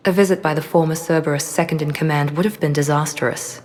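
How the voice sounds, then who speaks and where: An actress playing a poised, guarded former operative in a video game, speaking in a controlled, understated way with quiet vulnerability. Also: female voice, sits low, fairly even intonation, fast, in a large hall, natural voice. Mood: resolute. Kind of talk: dramatic video game acting.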